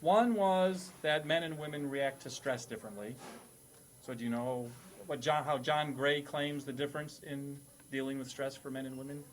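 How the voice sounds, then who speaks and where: A man's voice: medium pitch, steady tone, normal speed. A man hosting a comedy panel quiz with playful, mock-formal announcements and banter. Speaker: quiz host.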